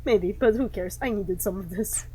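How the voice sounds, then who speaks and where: Wry woman with a mellow voice. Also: medium pitch, moderate pace, a little hiss or hum. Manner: wry, reactive asides. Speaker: gaming channel streamer. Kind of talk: casual let's-play commentary, reading some game lines aloud.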